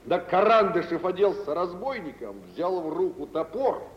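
An actor playing a jovial, sly, mocking wealthy Russian merchant in mid-century radio theatre style, teasing with false humility. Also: male voice, sits high, expressive, moderate pace. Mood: amused gossip. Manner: teasing, mock-humble, chuckling gossip. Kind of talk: 1940s-50s radio theatre acting. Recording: old radio recording, hollow hall, muffled, hissy.

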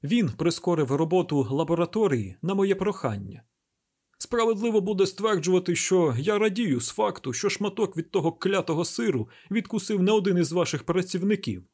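A man plays an exacting male forensic doctor from an audiobook crime novel. The voice is pitched up and husky, with formal, precise, lecturing delivery and a testy edge. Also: expressive, moderate pace, darkish tone, exaggerated voice. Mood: indignant. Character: exacting, testy male chief medical examiner.

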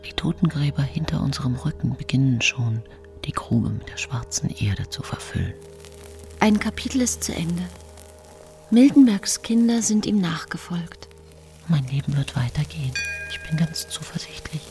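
A low female voice murmurs over faint music. She is a pious-sounding mother with a cold, scheming core, telling her own tale in a hushed, measured, confessional way. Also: big pitch swings, in a whisper. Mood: quietly calculating. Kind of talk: German radio play monologue, present-tense narration.

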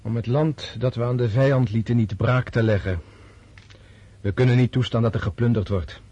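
An actor in a Dutch radio drama playing a disillusioned, resolute Russian officer prince, speaking in a deliberate, scornful way. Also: male voice, mid-range voice, dark tone, no echo, muffled recording, lightly acted. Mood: dry, curt.

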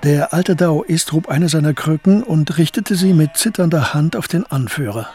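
An actor as the narrator of a historical crime radio drama, reading scripted literary third-person prose. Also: male voice, fairly high pitch, moderate pace, darkish tone, soft background music, close to an everyday voice. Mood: narrating.

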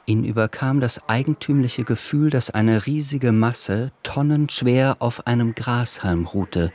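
A man narrating a literary radio drama with measured, deliberate phrasing. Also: medium pitch, darkish tone. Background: music.

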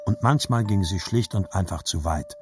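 A man's voice: deep voice, moderate pace, a little mellow, faint music behind, lightly acted. A man narrating scripted literary childhood memories as a grown grandson in an audio drama. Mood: reflective.

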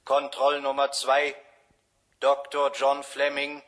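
Checkpoint announcer: sharp-toned, completely monotone, impersonal, official, radio drama. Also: male voice, medium pitch, slow pace. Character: impersonal male checkpoint announcer calling control numbers. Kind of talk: 1970s German radio drama acting.

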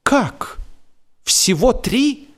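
Man playing a flustered, high-pitched indignant gentleman. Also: sing-song, drawn-out words, full character voice. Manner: exclamatory, indignant. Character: indignant, flustered English gentleman, late for work. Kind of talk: humorous audiobook character voice.

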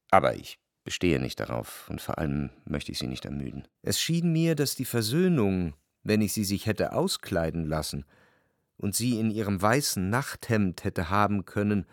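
An actor playing an anxious, jealous lover who recalls his life in measured, introspective radio drama narration. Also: fairly low pitch, dry recording, studio quality. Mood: quiet, coaxing. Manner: measured, introspective, literary phrasing. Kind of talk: literary radio drama narration with quiet dialogue.

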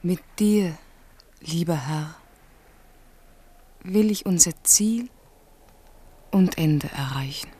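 An actress playing a devoted, proud young noblewoman, a king's mistress, in a radio drama with formal, deliberate diction. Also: fairly low pitch, warm tone, slightly rough. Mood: hushed devotion. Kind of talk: 1970s German radio drama acting.

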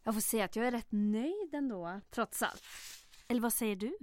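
A woman playing a spirited, quick-witted heroine in an old-time radio drama, with a wry, exasperated and expressive delivery. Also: fairly high pitch, lightly acted. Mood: dry, composed.

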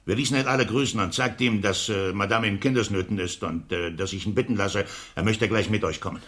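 An actor playing an exasperated, argumentative master of the house in a period radio play, grumbling and declamatory. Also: male voice, lowish voice, a little fast, a bit bright, natural voice. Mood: commanding.

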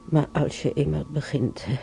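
An actress playing a regretful old mother in a radio drama, halting and brooding, with a husky, creaky voice. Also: deep voice, mellow, soft background music. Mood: regretful, musing. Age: older. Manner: halting, brooding, repeating herself. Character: regretful old mother remembering beating her stubborn son.

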